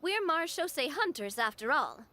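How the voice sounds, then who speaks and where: An earnest young female monster hunter from a fantasy game. Her voice is high and bright, with lively swoops, and she speaks in a caring, reassuring way. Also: normal speed, a little hiss or hum, full character voice.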